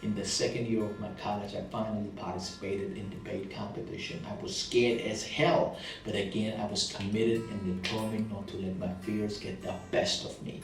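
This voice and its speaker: A man's voice: fairly low pitch, flat delivery, moderate pace, vocal fry, far from the mic. A man with a thick Indian accent, giving an earnest motivational monologue about his past struggles, repeating phrases for emphasis. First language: not English. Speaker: motivational speaker. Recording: very echoey room, voice off-mic, soft guitar music behind.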